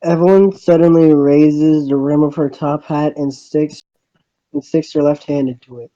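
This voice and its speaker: A man's voice: on the high side, fairly even intonation, normal speed, dark tone, no room sound, highs cut off. A man reading a visual novel aloud, often stumbling and restarting phrases.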